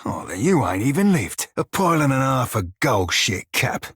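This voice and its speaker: Salty rogue for a game, husky, real swingy pitch, crude n teasing. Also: male voice, sing-song, moderate pace, fairly bright tone, no room sound, studio quality. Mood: wry teasing. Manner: salty sailor slang, drawling, roguish. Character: blue-skinned roguish pirate shiphunter, salty, crude, teasing, protective. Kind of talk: video game character dialogue and combat barks.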